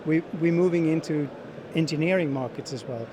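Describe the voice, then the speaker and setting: A middle-aged man giving unscripted interview answers as a sales manager, speaking in halting phrases with frequent repeats. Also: fairly high pitch. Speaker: sales manager being interviewed at a trade show. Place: busy exhibition hall. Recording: busy trade show crowd noise.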